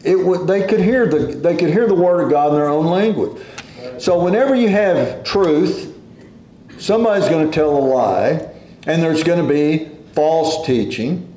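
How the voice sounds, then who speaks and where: A man preaching a sermon in an unhurried, folksy way, quoting scripture as he goes. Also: fairly high pitch, lively intonation, dark tone, reverberant, rough recording.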